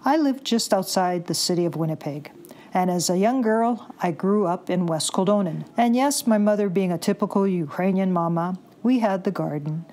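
A woman's voice: on the low side, moderate pace, dark tone. A middle-aged Canadian woman telling a chatty personal anecdote from her youth. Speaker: listener contributor.

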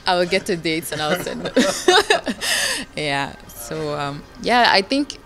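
A woman's voice: fairly low pitch, very animated, slow. A young woman from Belgium, a pageant contestant, answering interview questions in a hesitant way with many fillers. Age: young adult. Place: indoor lounge on a sofa. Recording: music playing behind the voice. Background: background music.

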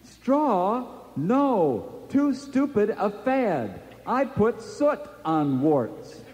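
A man playing a terse, deadpan cowboy hero in a radio comedy. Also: sits high, drawn-out words, big-room sound, slight background noise, exaggerated voice.

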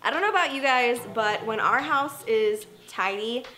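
A woman's voice: fairly high pitch, lively intonation, normal speed, pushed and bright. A young woman and mother talking casually to the camera at home, chatty and upbeat, often saying "like". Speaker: vlogger, mother. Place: home kitchen, indoors. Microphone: camera at table distance. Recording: echoey room with music behind.

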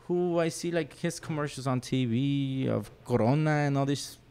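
A man with a middle-pitched voice tells rambling stories as a podcast guest. Spanish is his first language, and he slips into quick impressions of the people he describes. The talk is loose and casual. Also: clean tone. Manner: animated storyteller who acts out other people's voices. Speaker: podcast guest, rock photographer. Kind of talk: casual chat.

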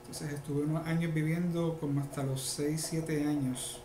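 A middle-aged man with a Puerto Rican accent, chatting haltingly as an interview guest. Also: a little high, flat delivery. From Puerto Rico and the mainland United States. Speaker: interview guest, luthier and musician. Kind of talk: casual interview talk. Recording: echoey room, faint background noise.

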